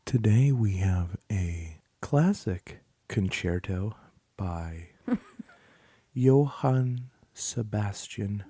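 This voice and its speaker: A man putting on the voice of a calm classical radio announcer, speaking slowly and deliberately. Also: on the low side, husky, dry recording, studio quality, voice pushed down, full character voice. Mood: solemn. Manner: slow, hushed, deliberate announcing.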